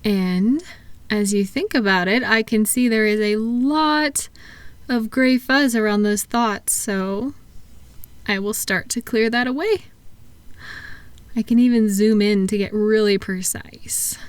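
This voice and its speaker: A woman speaking softly and gently, at times breathy, in an unhurried, reassuring one-sided ASMR roleplay as a calming practitioner. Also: mid-range voice, no room sound. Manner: soothing, reassuring, unhurried, asking gentle questions. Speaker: audio roleplay performer playing a thought-calming practitioner.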